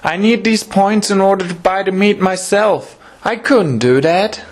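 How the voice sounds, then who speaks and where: An actor playing a mild, honest small-town butcher, polite and earnest, in an audio drama. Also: male voice, high voice, measured pace. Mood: apologetic. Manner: courteous, earnest.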